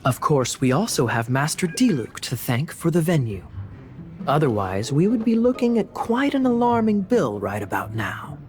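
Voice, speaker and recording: A man playing a genial, confident knight in a video game, talking in a smooth, theatrical, reassuring way. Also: on the high side, very animated, normal speed, darkish tone, a little hiss or hum. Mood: playful.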